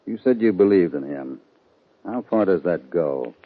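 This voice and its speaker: A man playing a calm, shrewd cowboy hero in 1950s radio western style, measured and authoritative. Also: low voice, slightly rough, full character voice. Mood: firm, unruffled. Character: calm, shrewd, fair-minded Old West cowboy hero. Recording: dull vintage 1950 radio recording.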